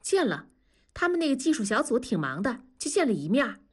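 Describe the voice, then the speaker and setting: A woman's voice: fairly high pitch, big pitch swings, a little fast, slightly rough, pitched above the performer's own voice. Woman voicing a chatty young daughter.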